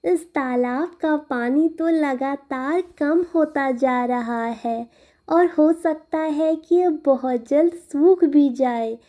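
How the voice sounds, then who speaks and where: A woman voicing a caring swan in an animated children's fable, speaking earnestly and firmly to a friend. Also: high voice, takes it slowly, voice pushed up. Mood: exasperated.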